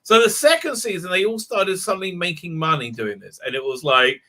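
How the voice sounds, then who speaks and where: A man with a somewhat high, very bright voice hosts a casual online stream. He rambles, stops and restarts, with plenty of "uh" and "right?". He talks into a computer mic on a video call. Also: expressive, fairly quick. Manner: rambling, full of fillers and restarts. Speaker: livestream host. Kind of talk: casual chat.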